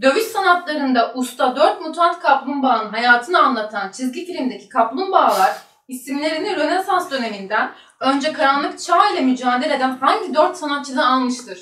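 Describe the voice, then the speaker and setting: A woman's voice: sits high, lively intonation, a little fast, few pauses, fairly bright tone. A woman reading out quiz questions on a talk show. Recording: roomy echo, distant mic.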